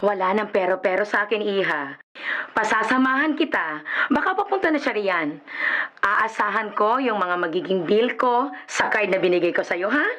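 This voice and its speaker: An actress playing a generous but insistent middle-aged aunt in a radio drama, with a Filipino accent. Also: female voice, medium pitch, lively intonation, normal speed, a bit bright, lightly acted. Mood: affectionate, insistent. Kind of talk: Tagalog radio drama acting.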